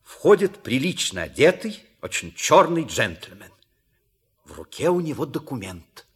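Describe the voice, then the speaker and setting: A man delivers scripted narration for an audio play. His husky voice has a wide, lively melody that sets up each scene. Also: on the high side, measured pace.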